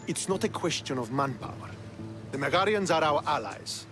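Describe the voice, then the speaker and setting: An actor playing a stern, suspicious Spartan military commander in a video game, with a commanding, distrustful manner. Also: male voice, a little high, expressive, moderate pace, over music, lightly acted. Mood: commanding, grudging. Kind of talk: dramatic video game acting.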